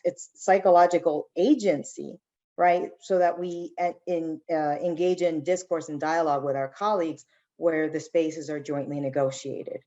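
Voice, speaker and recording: A woman's voice: low voice, a little mellow, dull audio. A woman speaking thoughtfully and earnestly as a panelist in a discussion, often checking in with a questioning tag. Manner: earnest, reflective, asks "right?" as she goes.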